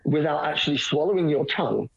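A man's voice: fairly high pitch, expressive, quick pace, gravelly, slightly rough, dull audio. A man interviewed as a guest, talking in a hesitant way with many restarts and a dry, self-deprecating humor. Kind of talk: conversational interview.

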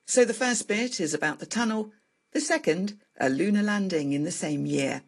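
Female radio presenter-interviewer, slightly husky tone, mid-range voice, conversational delivery. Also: medium pitch, expressive, normal speed.

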